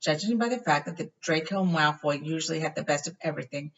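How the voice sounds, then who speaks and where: Woman doing storybook read-aloud, bright forceful voice, dull lo-fi recording, expressive. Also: low-pitched, fast talker. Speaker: reader of a children's book. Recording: dull lo-fi, far from the mic.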